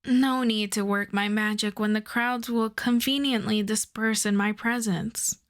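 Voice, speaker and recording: A woman playing a suave, self-assured female supervillain in a roleplay audio drama, flirting and teasing in an unhurried way. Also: medium pitch, fairly even intonation, close to an everyday voice. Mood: confident, coaxing. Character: suave flirtatious female supervillain courting her costume maker.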